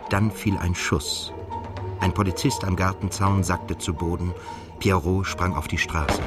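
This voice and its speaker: Brooding male narrator for literary radio drama, low register, measured and melancholy, music underneath. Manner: measured, introspective, scripted. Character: brooding, melancholy male journalist telling his story. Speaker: narrator and protagonist.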